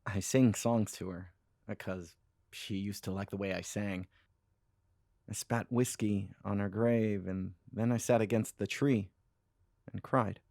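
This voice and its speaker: Gravelly, withdrawn grieving boyfriend. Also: male voice, fairly low pitch, steady tone, measured pace, a little mellow, no echo, studio quality. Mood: sullen grief. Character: grieving, withdrawn boyfriend mourning his dead fiancée. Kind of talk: horror audio drama acting.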